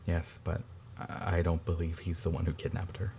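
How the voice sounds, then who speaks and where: A man playing a grieving older brother who speaks quietly and haltingly. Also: low-pitched, monotone, creaky, slight background noise. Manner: halting, quiet, restarts under strain.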